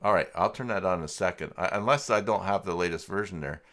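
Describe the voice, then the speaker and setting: A man hosting a casual live software tutorial, thinking aloud in an informal, unscripted way as he works through the steps. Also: deep voice, fast talker. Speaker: livestream host and software tutor.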